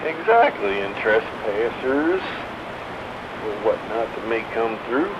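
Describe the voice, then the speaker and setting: A man's voice: medium pitch, big pitch swings, slow pace. A man in casual, colloquial CB chat, heard through a noisy, muffled radio transmission. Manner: folksy, colloquial. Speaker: radio operator. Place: porch.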